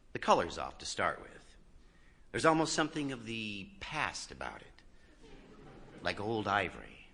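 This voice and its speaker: Deep, expressive man reading a short story. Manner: expressive, with strong swells and soft drops in volume. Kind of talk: dramatic first-person literary reading to an audience.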